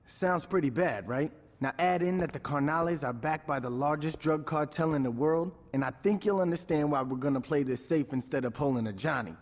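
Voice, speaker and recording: A man's voice: a little high, fairly quick, few pauses. A man playing a laid-back, sardonic gang lieutenant in a video game. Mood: wry, casual. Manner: casual, sardonic. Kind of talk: video game cutscene acting. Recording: long hall reverb.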